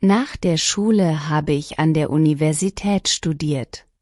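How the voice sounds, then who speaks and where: Woman, low voice, dry close sound, clear deliberate lesson reading. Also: no echo. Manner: clear, even, deliberate sentence-by-sentence delivery. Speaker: language lesson reader. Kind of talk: scripted shadowing practice reading.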